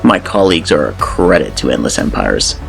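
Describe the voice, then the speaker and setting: A man playing a cold, calm corporate enforcer in an audio drama, making slow, measured threats with dark relish. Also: very deep voice, vocal fry, faint music behind, exaggerated voice. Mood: menacing.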